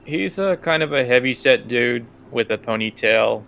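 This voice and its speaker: A man working as game master, improvising scene narration for a tabletop role-playing game in a halting, thinking-aloud way. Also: mid-range voice, expressive, fairly bright tone. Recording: clear background room noise.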